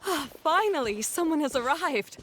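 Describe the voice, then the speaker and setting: A woman's voice: sits high, animated, moderate pace, a bit bright. A woman playing a blunt, formal scholar in a video game, speaking in a matter-of-fact way. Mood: dry, blunt.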